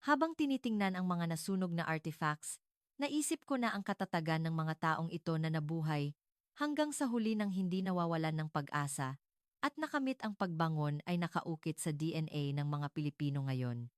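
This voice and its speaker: A woman reading a scripted dramatic narration in a measured, earnest storytelling manner. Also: low-pitched, expressive, clear, resonant tone, no room sound, studio quality.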